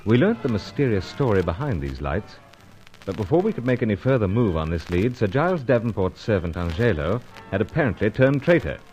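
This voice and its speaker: An actor playing a suave, resolute British secret agent with a clipped, measured delivery in an old radio serial. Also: male voice, lowish voice, big pitch swings, a little mellow, highs cut off, faint music behind. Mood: grave, urgent. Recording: noisy old radio recording with clicks.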